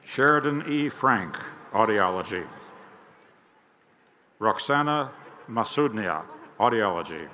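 Male ceremony announcer, bright voice with lively pitch, formal name reading, faint stadium ambience behind. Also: a little hiss or hum. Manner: clear, measured announcing of each name and field. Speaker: commencement name reader. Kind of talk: formal reading of graduate names. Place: large outdoor stadium over a PA system.

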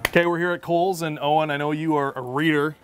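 A man's voice: fairly high pitch, fairly even intonation, fast. A man with a Canadian accent hosting upbeat, jokey promotional banter on camera in a shopping mall. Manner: jokey, punning. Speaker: host, radio personality. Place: inside a shopping mall and its stores. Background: background music.

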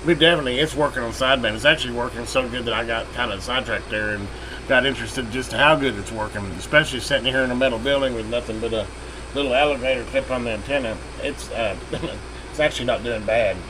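A folksy American man with an average-pitched voice talks casually and rambles through a hands-on repair demonstration. He is plain-spoken, recorded in a workshop with clear background noise. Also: fast. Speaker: radio repair video host. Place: metal workshop building, indoors at a bench. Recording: steady background hum and radio noise.

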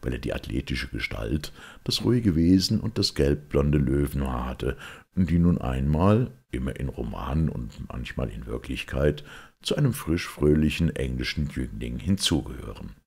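A man reading a detective story aloud as an audiobook narrator, measured and expressive, with a gravelly, husky voice. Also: very deep voice. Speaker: audiobook reader.

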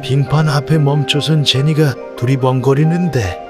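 A man telling a scripted animal story for children in an animated, expressive way. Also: medium pitch, moderate pace. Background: music.

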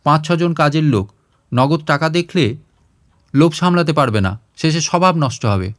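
A man's voice: on the high side, expressive, normal speed, lightly acted. A man voicing a caring grown daughter who firmly manages her father's affairs in a storybook reading. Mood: reassuring, firm.

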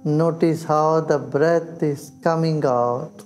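Mellow, high-voiced older Indian man guiding meditation. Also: fairly even intonation. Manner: slow, soothing, with long pauses. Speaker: meditation teacher. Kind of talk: guided meditation in English and Hindi. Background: background music.

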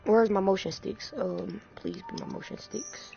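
A woman YouTuber playing a VR game, who trash-talks the characters with playful slang and bursts out loud. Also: medium pitch, fairly even intonation, normal speed, creaky, over music. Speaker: gaming YouTuber. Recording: dull lo-fi sound with loud hiss.

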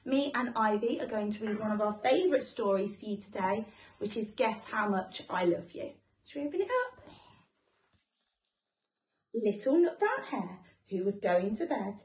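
A young woman reading a children's story as a teacher would, expressive and addressing small children. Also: medium pitch, measured pace. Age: young adult. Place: small living room, on a sofa indoors. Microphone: camera a short distance away. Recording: echoey living room.

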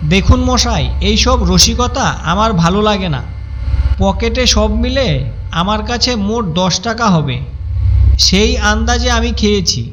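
High-voiced man narrating a ghost story. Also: slight background noise. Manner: wry, chatty storyteller.

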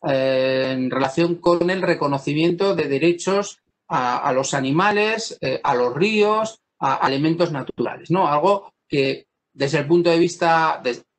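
A man from Spain giving an academic law lecture over a video call, pausing often and restarting his sentences. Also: fairly high pitch. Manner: thoughtful, many pauses, fillers and restarts.

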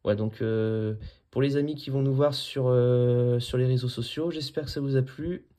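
A man's voice: mid-range voice, steady tone, normal speed, warm tone. A man chatting casually as an amateur radio operator while he demonstrates his setup. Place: small indoor room at a desk.

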